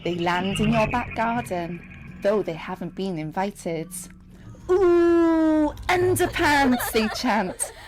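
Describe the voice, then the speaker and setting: A woman reading a picture book aloud to young children, expressive and speaking straight to her listeners. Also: medium pitch, takes it slowly, low-quality recording. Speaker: storyteller and teacher reading to children. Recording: music under the voice. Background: music and sound effects.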